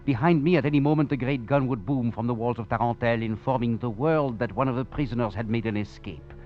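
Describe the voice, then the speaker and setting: A muffled vintage radio drama with faint music under the voice. A male actor plays an urbane French master thief, a man of middling pitch who tells his story with courtly, wry relish and theatrical flourish. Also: fast talker, lightly acted. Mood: furtive, tense. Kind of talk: 1940s radio drama acting, first-person narration.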